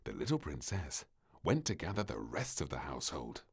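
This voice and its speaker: A man reading a scripted children's story as narrator, with an expressive, dramatic delivery. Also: extremely low pitch, moderate pace, creaky, husky. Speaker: storybook reader.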